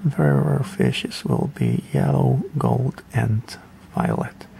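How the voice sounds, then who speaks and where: A man's voice: medium pitch, huge pitch swings, slow pace, creaky, mellow, slight background noise. A man giving a casual game tutorial in a rambling, offhand, hesitant way. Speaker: gaming video creator.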